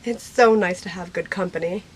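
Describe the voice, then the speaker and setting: A woman playing a kindly, welcoming mother in an audio drama, gracious in manner, with a husky voice. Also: fairly low pitch, a little fast, poor audio.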